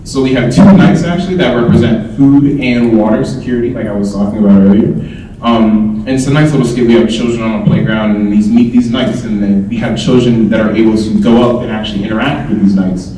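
A man's voice: fairly low pitch, steady tone, a little fast, dark tone, slight background noise. Young man speaking in echoey hall. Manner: earnest, explanatory, with frequent ums. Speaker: student speaker. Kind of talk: conference presentation. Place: large indoor hall with projector screen. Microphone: handheld mic, filmed from far. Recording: roomy hall echo, distant camera.

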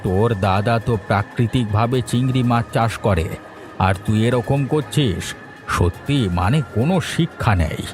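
Cartoon village elder, male actor: principled, caring, rustic and earnest, mid-pitched, over background music. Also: normal speed, close to an everyday voice. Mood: scolding. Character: concerned, principled village uncle, older man. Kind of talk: Bengali cartoon voice acting. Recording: music behind the voice.

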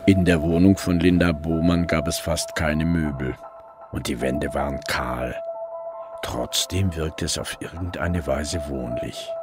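A man's voice: rumbling low, steady tone, measured pace, music in the background. A man acting as a brooding police detective who narrates his case in first person in a German crime radio play. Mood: reflective, subdued.

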